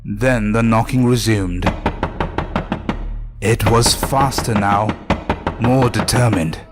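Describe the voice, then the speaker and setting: A man's voice: on the low side, takes it slowly. A man reading a scripted first-person horror story as narrator, with music behind him.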